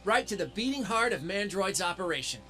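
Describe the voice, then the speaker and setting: A youthful robot scout in a game cutscene. The voice is fairly high for a male, casual and wry, with a plucky, dutiful streak. Music plays clearly behind it. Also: sing-song, a little fast. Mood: determined. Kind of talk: scripted video game cutscene acting.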